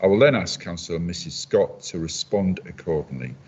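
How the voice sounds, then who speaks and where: A man who chairs a council meeting in a measured, formal way and reads from a script. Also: deep voice, lively intonation, darkish tone, breathy edge. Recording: dull lo-fi audio.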